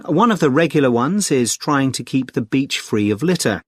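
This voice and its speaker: A British man as a friendly conservation group secretary, recorded close and dry. His pitch is middling and he explains things politely and clearly in a measured, scripted everyday conversation. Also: lively intonation, dry recording. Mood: helpful, informative. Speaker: actor in a listening test dialogue.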